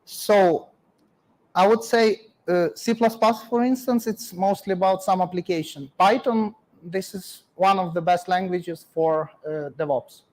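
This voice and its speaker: This is a man with a Ukrainian accent and a fairly high voice, giving a technical talk. He speaks in short, practical, clipped phrases, like a guest expert answering an audience. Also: unhurried, darkish tone, poor audio.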